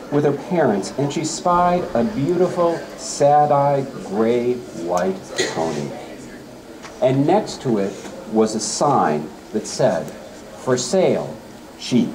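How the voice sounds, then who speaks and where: A middle-aged man giving a public talk and reading poems aloud, with expressive storytelling. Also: mid-range voice, reverberant, rough recording. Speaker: speaker reading poems at a library event. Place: campus center event space. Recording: live event with faint room noise. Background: faint ambient noise.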